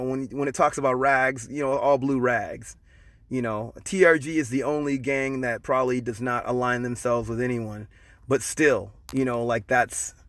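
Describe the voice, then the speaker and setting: A man's voice: mid-range voice, fairly bright tone, dry recording. A middle-aged man from Long Beach, talking casually to his viewers with lots of fillers and restarts. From Long Beach, California. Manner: conversational, many fillers and restarts, loose and storytelling. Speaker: channel host.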